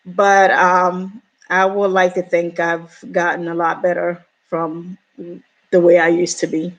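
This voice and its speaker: Slow-talking woman in her forties. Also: lowish voice. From Ghana. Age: 40s. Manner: slow, hesitant, many pauses and fillers. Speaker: YouTuber. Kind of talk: casual storytelling vlog.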